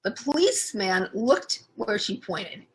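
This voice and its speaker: A woman storyteller narrating a children's book aloud in an expressive, deliberate way. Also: mid-range voice, poor audio.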